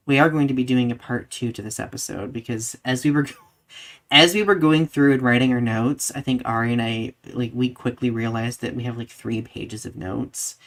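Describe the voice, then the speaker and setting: A man hosting a live podcast in a casual, conversational style, often saying "like" and checking in with "right?". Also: medium pitch. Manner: conversational, peppers talk with "like" and "right?". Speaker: podcast host.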